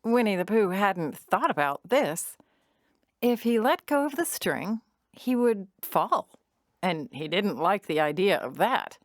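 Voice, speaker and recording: A woman narrating a children's storybook audio drama in an expressive storytelling manner. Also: mid-range voice, unhurried, no echo, clean studio sound.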